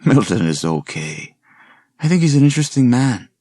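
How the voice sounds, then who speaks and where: A charming male professor in an audiobook, acted out by the narrator, a man. He speaks in a suave, courtly, mock-formal way, lifted above a gravelly natural register, recorded dry. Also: medium pitch, very animated, normal speed, warm tone, dry recording, pitched above the performer's own voice. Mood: cheerful, welcoming.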